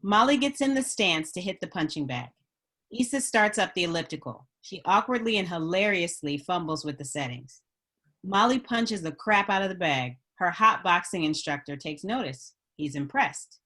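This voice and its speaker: A woman's voice: fairly low pitch, expressive, normal speed, bright, forceful tone. A woman reading screenplay stage directions as a narrator at a script table read.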